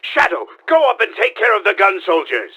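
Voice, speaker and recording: A man's voice: high voice, huge pitch swings, moderate pace, pushed and bright, husky, full character voice. A man playing a pompous, scheming mad-genius villain in a video game, with a theatrical, blustering, commanding manner. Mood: urgent, commanding. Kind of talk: video game character voice lines.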